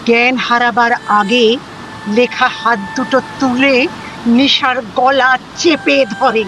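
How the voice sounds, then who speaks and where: A woman's voice: a little high, fairly even intonation, slow pace, background noise. A woman narrating a scripted story dramatically. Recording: music under the voice.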